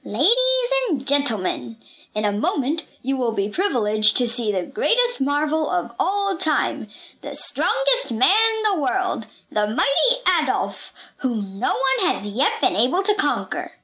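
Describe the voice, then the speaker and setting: A woman voicing a bombastic male circus ringmaster from a storybook, announcing grandly with drawn-out words and a short temper. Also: sits high, huge pitch swings, fairly bright tone, heavily acted. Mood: grand, showy.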